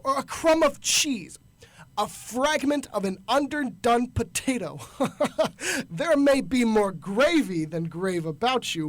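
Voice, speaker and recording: An actor playing a crotchety old male miser in a radio drama, frightened and scoffing, stammering and blustering. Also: mid-range voice.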